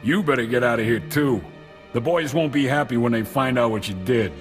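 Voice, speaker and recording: An actor playing a hard-nosed, slangy street gang leader in a video game cutscene. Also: male voice, mid-range voice, fairly quick, faint music behind, lightly acted. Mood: grudging. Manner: tough, slangy.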